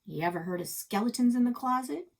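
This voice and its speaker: A woman reading a children's storybook, voicing a gruff, grumpy old grandfather with a folksy, plainspoken manner. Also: on the high side, expressive, quick pace, darkish tone, voice pushed up. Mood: grumpy, defensive. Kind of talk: children's storybook read-aloud.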